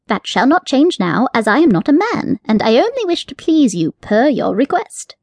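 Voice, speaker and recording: A woman voicing a prim, fussy male rabbit with a formal, haughty manner, pitched well above her own voice. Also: very animated, normal speed, a little mellow, no echo, full character voice.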